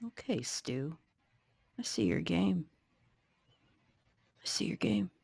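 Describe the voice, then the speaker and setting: A woman's voice: lowish voice, expressive, slow pace, vocal fry, darkish tone, husky, no room sound. A woman playing a tabletop game, roleplaying casually in character.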